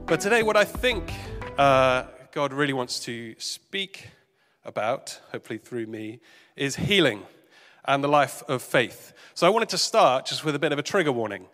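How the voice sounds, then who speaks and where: A man preaches a sermon at a middle pitch. He sounds a little congested and nasal, and he talks gently and conversationally, with small fillers. His voice rings through a long hall reverb, with faint music underneath. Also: sing-song, normal speed. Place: church service hall.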